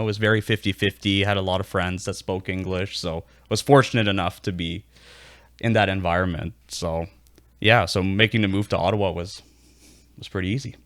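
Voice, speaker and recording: A man's voice: deep voice, fairly even intonation, brisk, a bit bright, no room sound, clean studio sound. A man in his thirties from Quebec, a native French speaker, chatting easily as a podcast guest and laughing often. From Quebec, Canada. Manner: easygoing, laughs often, lots of fillers. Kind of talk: casual interview chat.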